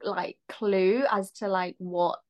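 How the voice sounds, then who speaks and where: A woman's voice: mid-range voice, fairly even intonation, takes it slowly. A woman in her thirties, a singer-songwriter guest, talking reflectively in a casual interview with many ums and likes. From Filipina-Sri Lankan, raised in the UK. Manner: reflective, lots of "um" and "like".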